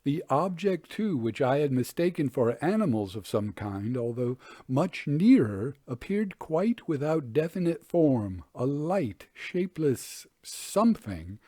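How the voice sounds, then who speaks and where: A man voicing an unnerved but skeptical nineteenth-century gentleman traveler, telling his account formally and deliberately in a storybook reading. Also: a little high, sing-song, no room sound, studio quality. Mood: hushed suspense.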